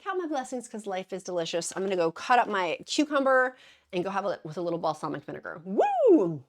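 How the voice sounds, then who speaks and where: A woman's voice: medium pitch, wildly swooping pitch, fairly quick. A woman chatting casually to her viewers as a weight-loss vlogger, bubbly and gushing, with rambling side notes and self-deprecating jokes. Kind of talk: casual chatty vlog to camera.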